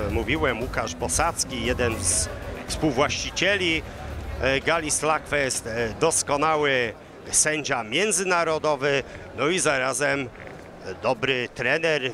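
A man giving live commentary on a cage fight, rising to shouts at big moments. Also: medium pitch. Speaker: MMA commentator. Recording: loud arena crowd and music.

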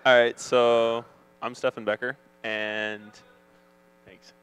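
A young man who grew up in France speaks from an auditorium stage at an unhurried pace. His voice is smooth and clear, and he talks in a plain, hesitant way. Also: medium pitch, flat delivery, fairly bright tone, poor audio. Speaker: student panelist. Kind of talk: telling about his home country.

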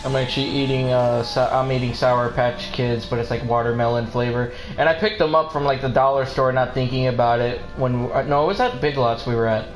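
A man's voice: medium pitch, a little fast, hardly any pauses. A man livestreaming a video game, chatting casually in rambling anecdotes with the odd swear word. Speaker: game streamer. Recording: roomy echo with game music behind.

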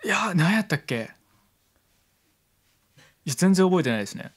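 Lively young man with Kansai accent. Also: sits high, normal speed, husky. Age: young adult. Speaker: internet radio host. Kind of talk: casual co-host chat. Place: small indoor radio studio. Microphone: studio tabletop microphone with headphones.

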